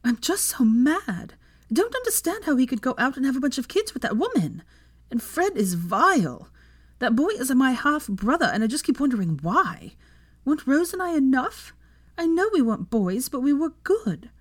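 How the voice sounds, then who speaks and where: A woman's voice: high voice, very animated, moderate pace, voice pushed up. A woman voicing a hurt, angry schoolgirl daughter in a story, plaintive and drawing out her words. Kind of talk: dramatised fan fiction reading.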